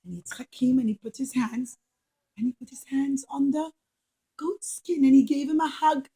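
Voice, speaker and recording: Lady doing a kids puppet show story, high voice, explains stuff, repeats, asks little questions. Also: moderate pace, mellow, a little hiss or hum, poor audio. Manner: explanatory, repetitive, checks understanding with questions. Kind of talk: animated storytelling for young children.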